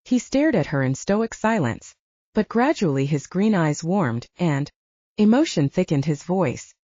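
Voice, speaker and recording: Mellow, lowish-voiced woman narrating. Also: very animated, measured pace, dry recording, muffled recording. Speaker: audiobook narrator. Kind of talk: scripted romance fiction narration.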